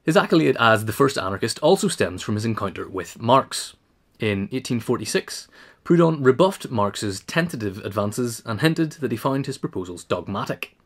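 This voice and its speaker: A man's voice: medium pitch, expressive, moderate pace. A man reading scripted narration, as in an audio essay. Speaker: reader.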